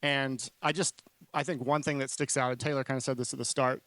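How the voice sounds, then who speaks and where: Man in his forties, conference speaker, conversational and earnest, self-correcting, smooth clear tone, dry close sound. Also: mid-range voice, level tone, brisk, sharp tone, dry recording. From New Zealand-born, raised in Hawaii. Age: 40s. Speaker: conference speaker, marketing consultant. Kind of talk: informal conference talk.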